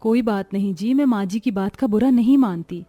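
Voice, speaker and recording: A woman voicing a dutiful young pregnant daughter-in-law in a cartoon story, patient, soft-spoken and deferential. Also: medium pitch, normal speed, dull audio, close to an everyday voice. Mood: meek. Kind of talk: acted Hindi cartoon story dialogue.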